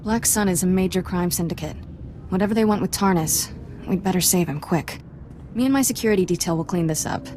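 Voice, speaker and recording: A woman's voice: fairly low pitch, a little fast, darkish tone, a little hiss or hum, close to an everyday voice. An actress playing a cocky, wisecracking young female agent in a video game, with a wry, breezy manner. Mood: confident. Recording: music playing behind.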